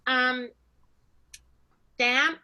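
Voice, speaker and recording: A woman's voice: high-pitched, fairly even intonation, pushed and bright, heavily acted, rough recording. A woman playing a politely hesitant, arch lady star in an improvised comic radio play. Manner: halting, tactful, coy.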